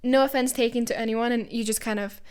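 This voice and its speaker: A woman's voice: fairly high pitch, steady tone, quick pace, a little mellow, clear, resonant tone. A woman from Norway, a native Norwegian speaker, chatting casually as a podcast co-host and acting out little exchanges as she tells anecdotes. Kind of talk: casual conversational podcast chat.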